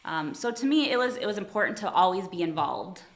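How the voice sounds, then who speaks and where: A woman's voice: on the low side, expressive, brisk, echoey room. A woman from Ohio working as an attorney, chatting casually in an interview, friendly and modest, laughing often. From Toledo, Ohio. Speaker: attorney, guest.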